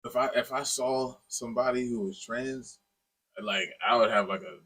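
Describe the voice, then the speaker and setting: A man with a bright, forceful voice that has some rasp in it. He co-hosts a podcast, explaining himself earnestly in loose, informal language, as if among friends. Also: mid-range voice, normal speed, rough recording. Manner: informal, peppered with "man" and "dude". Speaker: podcast co-host. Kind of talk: casual, earnest chat.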